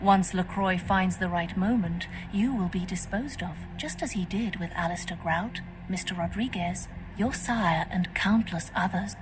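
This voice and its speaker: A woman's voice: fairly low pitch, noisy background, close to an everyday voice. An actress playing a composed, scheming female vampire faction leader in a video game, speaking formally. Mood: cool warning. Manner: formal, measured, stately. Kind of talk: video game dialogue acting. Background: music.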